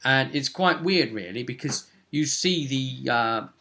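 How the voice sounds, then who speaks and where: A man's voice: medium pitch, animated, a little slow, pushed and bright. A man working as game master, recapping a tabletop role-playing session in an informal, rambling storytelling way.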